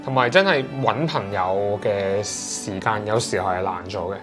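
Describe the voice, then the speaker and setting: A man in his thirties with a Hong Kong Cantonese accent, chatting in an interview at home and pausing often with fillers and restarts. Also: fairly low pitch, music in the background. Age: 30s. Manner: hesitant, many fillers and restarts. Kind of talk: casual interview chat. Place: indoors in a sea-view flat.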